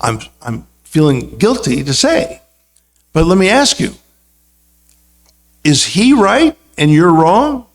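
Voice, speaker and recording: A man's voice: fairly high pitch, big pitch swings, slow, long pauses. An American man speaking as a religious teacher, telling anecdotes in an informal, conversational talk full of asides. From United States. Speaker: guest speaker, religious teacher and university lecturer.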